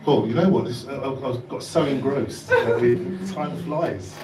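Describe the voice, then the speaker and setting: A man hosting and moderating an open panel, chatty and quick to laugh. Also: medium pitch. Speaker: host. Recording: echoey room, distant mic, noisy.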